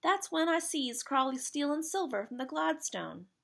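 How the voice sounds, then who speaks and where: A plucky working-class boy from a children's storybook, played by a woman, with a creaky edge to the voice. He talks in slangy, rough grammar and is friendly and earnest, sitting higher than the reader's own talk. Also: sing-song, moderate pace, a bit bright, exaggerated voice. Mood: proud storytelling. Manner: earnest, colloquial, dropped-grammar speech. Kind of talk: children's storybook character read aloud.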